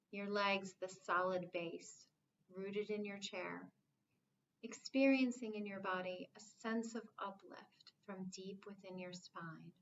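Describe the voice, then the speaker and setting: A woman leading a guided meditation as an instructor, speaking in a calm, soothing, measured way with long pauses. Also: mid-range voice, muffled recording.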